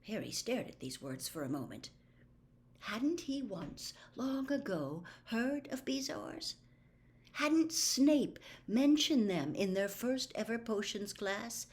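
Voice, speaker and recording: A woman reading a novel aloud as expressive, scripted story narration. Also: on the high side, slow pace. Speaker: audiobook reader.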